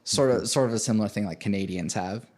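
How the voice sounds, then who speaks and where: A man's voice: lowish voice, fast talker, a little mellow. A man from Venezuela, a composer, chatting casually in an interview, rambling with many fillers and frequent laughs. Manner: rambling, full of likes and fillers, laughs often. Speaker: composer and podcast host, interviewed as guest.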